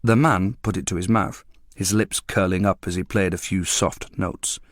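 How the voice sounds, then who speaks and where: A man's voice: sits low, steady tone, normal speed, no echo, clean studio sound. A man reading a literary short story as a scripted narration.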